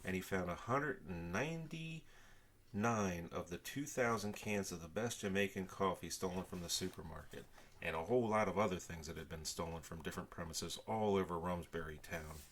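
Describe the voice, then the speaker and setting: Older man, lowish voice, storyteller, expressive children's book narration. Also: moderate pace, slight background noise.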